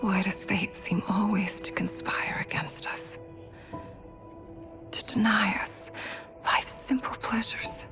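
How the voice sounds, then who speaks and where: A woman playing a regal, solemn warrior queen in a video game, speaking in an earnest, breathy, measured way. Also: mid-range voice, fairly even intonation, in a whisper, music in the background, natural voice. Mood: wistful, tender. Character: regal warrior queen, female keeper of time, solemn and wistful. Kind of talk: cinematic video game acting.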